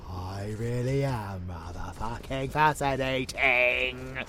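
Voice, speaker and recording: Gravelly, slow, sadistic vampire monster. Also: male voice, mid-range voice, noisy background. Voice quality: gravelly, growling creaky voice. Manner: theatrical, menacing, taunting, drawn-out phrasing. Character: sadistic, gleeful male vampire abomination with dark magic. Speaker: voice actor in audio roleplay. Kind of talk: monster ASMR roleplay acting.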